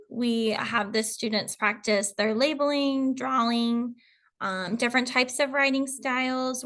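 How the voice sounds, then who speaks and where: A woman's voice: on the high side, a bit bright. A woman kindergarten teacher, introducing colleagues and explaining the curriculum to parents, working through lists with frequent ums. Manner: reads through lists with fillers. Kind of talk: presenting a school info video.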